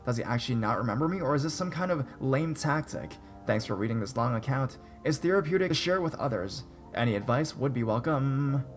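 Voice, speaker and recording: A man reads viewer-submitted horror stories aloud at a middle pitch. He keeps a steady storytelling delivery and drops in dry, sarcastic remarks along the way. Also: brisk, few pauses, faint music behind. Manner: wry, sarcastic asides. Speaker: narrator. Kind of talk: scripted narration of reader stories.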